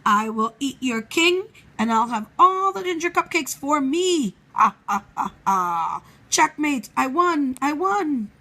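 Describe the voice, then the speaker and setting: A woman's voice: sits high, sing-song, slow pace, sharp tone, voice pushed up, full character voice. A woman voicing a sly, scheming male wolf in a storybook reading, with a theatrical, wheedling manner. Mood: menacing, gloating.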